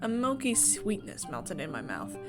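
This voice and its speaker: A woman's voice: mid-range voice, big pitch swings, moderate pace. A woman reading a visual novel aloud as a streamer, mixing story narration with chatty asides. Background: music.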